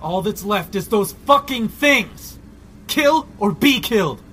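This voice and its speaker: Man playing a high-voiced, hot-headed apocalypse survivor. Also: animated, slow pace, pushed and bright, a little hiss or hum, heavily acted. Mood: defiant. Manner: combative, profane. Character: defiant, hot-headed, foul-mouthed male civilian survivor.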